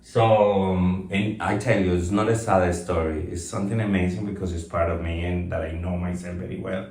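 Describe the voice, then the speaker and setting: A man's voice: low-pitched, fairly even intonation, normal speed, darkish tone. A man in his fifties from Colombia, a guest talking reflectively with many fillers and restarts in a casual interview. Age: 50s. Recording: roomy echo, mic at some distance.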